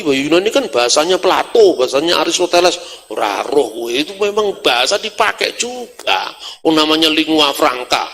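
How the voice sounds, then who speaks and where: Forceful Javanese-accented male lecturer in echoing hall. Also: high-pitched. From Java, Indonesia. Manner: animated explaining with rhetorical questions and colloquial asides. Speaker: religious lecturer. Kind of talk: sermon-like teaching on scripture. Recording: long hall reverb.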